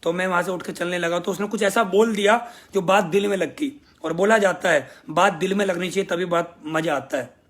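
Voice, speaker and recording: A man's voice: high-pitched, fairly quick, fairly bright tone. A young man leading a sales team, giving an emphatic motivational speech and repeating his thanks again and again. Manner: emphatic, grateful, repeats phrases for effect. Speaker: network marketing team leader.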